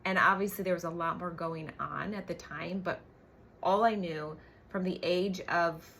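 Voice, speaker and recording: A woman's voice: on the low side, steady tone, moderate pace, creaky, fairly bright tone, slight background noise. A woman in her forties talking candidly and emphatically in a personal storytelling vlog, like a coach speaking to her followers. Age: 40s. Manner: candid, emphatic, frequent tag questions and swearing. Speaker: business coach.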